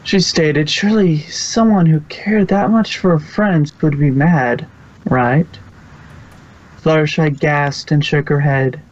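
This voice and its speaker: A narrator reading fan fiction aloud in a halting way, stumbling now and then over the text. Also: mid-range voice, fairly even intonation. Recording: dull lo-fi recording.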